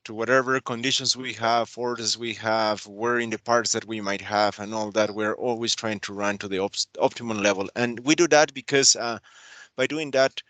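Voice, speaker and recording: Peruvian-accented engineer, earnest and halting; bright voice, dry close mic. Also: male voice, on the low side, fairly even intonation, dry recording. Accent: Peruvian Spanish. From Peru. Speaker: process control engineer. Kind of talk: webinar self-introduction.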